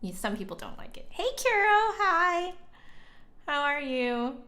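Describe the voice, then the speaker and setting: A woman's voice: high voice, big pitch swings, measured pace, bright, forceful tone. A woman livestreamer and online teacher chatting casually with viewers in a candid, informal way.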